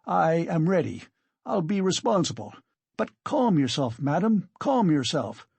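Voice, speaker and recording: A narrator voicing a pompous, self-righteous gentleman accuser with formal, reproachful speech in an audiobook reading. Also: mid-range voice, big pitch swings, unhurried, gravelly, husky, no room sound, pitched above the performer's own voice, full character voice. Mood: flustered, placating.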